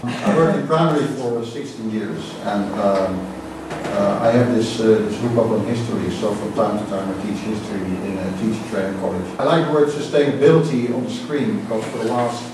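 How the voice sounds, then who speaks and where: A man's voice: fairly low pitch, brisk, hardly any pauses. A man, a teacher trainer introducing himself informally to a group. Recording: very echoey room, distant mic, noisy.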